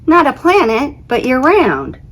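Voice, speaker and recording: A woman voicing a chummy, wisecracking storybook planet with a lively, slangy, teasing manner. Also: high-pitched, measured pace, voice pushed up, heavily acted. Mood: concerned.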